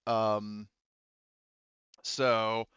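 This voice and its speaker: Clear-voiced man running a tabletop game. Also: on the low side, level tone, a bit bright, no echo, highs cut off. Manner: halting, casually profane. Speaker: game master. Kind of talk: running a tabletop roleplaying game, casual improvised narration.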